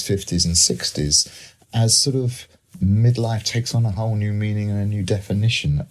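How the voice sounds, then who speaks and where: A man's voice: on the low side, breathy edge. A middle-aged man with a British accent explaining ideas as an expert guest in a panel discussion, reflectively and with examples. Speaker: economist and author, panel guest.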